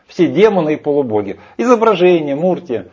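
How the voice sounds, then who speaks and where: A man's voice: a little high, very animated, brisk, warm tone, slightly rough. A man arguing emphatically in a heated discussion, rambling with hesitations. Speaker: speaker lecturing on Vedic scripture. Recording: dull lo-fi sound.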